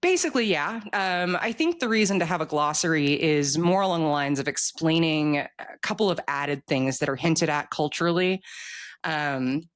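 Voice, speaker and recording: Interviewed author, mid-range pitch, informal and emphatic, conversational. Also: lively intonation, moderate pace, fairly bright tone.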